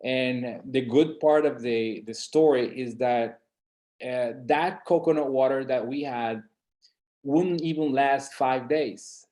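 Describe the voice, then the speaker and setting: A man's voice: medium pitch, slow, mellow. Hesitant male webinar presenter. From San Andres and Providence, Colombia. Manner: hesitant, many fillers and restarts.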